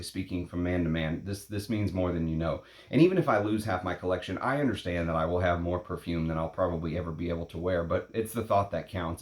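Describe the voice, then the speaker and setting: A man in his late thirties hosting a perfume vlog, talking casually in a rambling, opinionated way, blunt and quick to swear. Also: deep voice, a little mellow. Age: 30s. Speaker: perfume channel host. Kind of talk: casual unscripted vlog talk. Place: at home in Texas.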